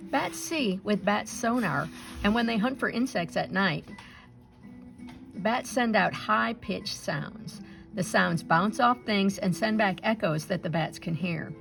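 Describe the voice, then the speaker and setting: A woman reading a children's picture book aloud in an expressive storytelling style, acting out the sounds and dialogue. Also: medium pitch, measured pace, vocal fry, faint music behind. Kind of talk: animated read-aloud narration for children.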